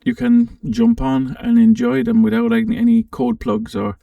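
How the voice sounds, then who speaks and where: A man giving a casual, unscripted software walkthrough, thinking aloud with some hesitation as he presents. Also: very high-pitched, animated, normal speed, creaky, dull audio. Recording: roomy, slightly distant microphone.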